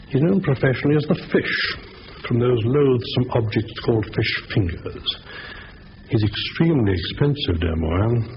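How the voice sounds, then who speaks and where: An actor in a 1970s radio drama playing a fastidious gentleman crook with a florid, condescending manner. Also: on the low side. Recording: muffled old radio broadcast with hiss.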